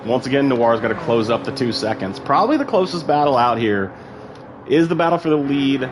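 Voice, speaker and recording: A man's voice: medium pitch, very animated, fairly quick, slight background noise. Chatty man commentating a sim race. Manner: casual, chatty, rambling with frequent fillers. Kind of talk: live esports race commentary.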